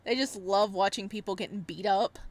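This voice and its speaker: A woman streamer reading a visual novel aloud in an expressive way, breaking off for casual reactions. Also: medium pitch, brisk. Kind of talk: let's-play read-aloud with casual reactions.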